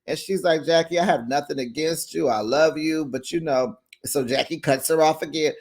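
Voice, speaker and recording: Chatty man recapping a reality show. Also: normal speed. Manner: chatty, acts out quotes with raised pitch, laughs often. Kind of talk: casual recap commentary.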